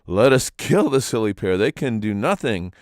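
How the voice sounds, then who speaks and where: A man's voice: fairly low pitch, sing-song, normal speed, no room sound, clean studio sound. A man voicing clamoring, exclamatory village folk in a storybook legend. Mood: mocking.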